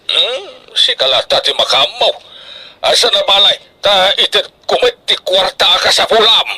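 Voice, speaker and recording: A supportive, bright-voiced young student. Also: male voice, sits high, expressive, moderate pace, full character voice, low-quality recording. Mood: attentive, encouraging. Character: supportive young male friend and fellow student. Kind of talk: radio drama acting.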